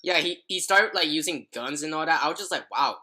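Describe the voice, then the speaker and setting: A man's voice: on the high side, lively intonation, brisk, bright, forceful tone. A man hosting a podcast in casual chat, rambling and leaning heavily on filler words like "like". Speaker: podcast host.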